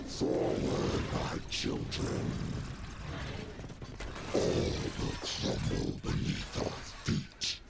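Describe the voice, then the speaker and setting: An actor playing a menacing, theatrical robot warlord with a gravelly, creaky voice in a game. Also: male voice, very slow, very noisy. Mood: grandiose menace.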